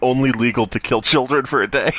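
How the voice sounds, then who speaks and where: A man's voice: medium pitch, fairly quick, crowd noise. A man co-hosting a podcast with crude, profane comedic riffing in a casual chat. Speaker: podcast co-host.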